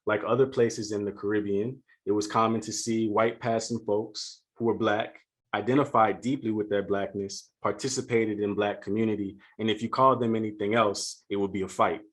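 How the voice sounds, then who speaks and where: Man, lowish voice, from Louisiana, earnest, deliberate, keynote address. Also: fairly even intonation. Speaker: keynote speaker. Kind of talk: prepared keynote speech. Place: home setup for a virtual conference.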